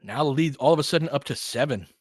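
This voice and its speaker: A man's voice: fairly high pitch, animated, quick pace. A man giving informal, candid basketball play-by-play and opinionated commentary as the host of a fan stream.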